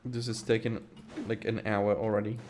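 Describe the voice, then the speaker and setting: A man's voice: lowish voice, little pitch movement, moderate pace, darkish tone. Impatient, sarcastic male game streamer. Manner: impatient, sarcastic, swears, mumbles reactions. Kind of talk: casual gameplay commentary. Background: game music.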